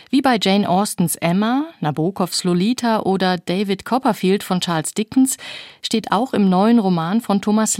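A woman presenting a literary radio programme in an articulate, measured, scripted way, close to a studio microphone. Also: mid-range voice, a little mellow, no echo. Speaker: radio host and interviewer.

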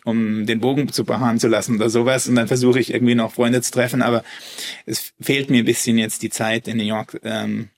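A man's voice: mid-range voice, flat delivery, brisk, creaky. A man, a German native speaker and violinist, talking as a guest in a casual interview, hesitant with many restarts and fillers.

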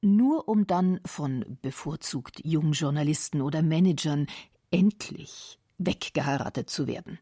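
A woman reading narration for a radio documentary feature in a calm, precise way. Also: deep voice, unhurried, slightly rough, dry recording, clean studio sound.

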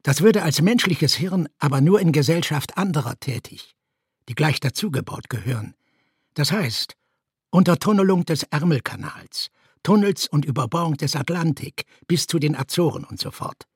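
A man giving a measured, formal reading of a scripted literary text. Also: fairly high pitch, animated, slightly rough, no room sound, studio quality. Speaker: reader in a literary audio piece.

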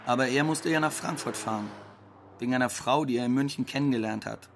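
A man's voice: mid-range voice, fast talker, gravelly, slight background noise, close to an everyday voice. An actor playing a wry, defiant undocumented Ukrainian migrant man, speaking a blunt, sardonic monologue in conversational style.

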